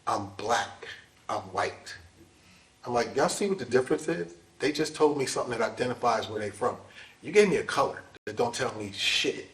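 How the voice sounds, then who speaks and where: A man's voice: mid-range voice, fairly bright tone. A man in an informal panel talk, emphatic and plain-spoken, with preacherly asides. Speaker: dance scholar and panel speaker. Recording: echoey hall, distant mic.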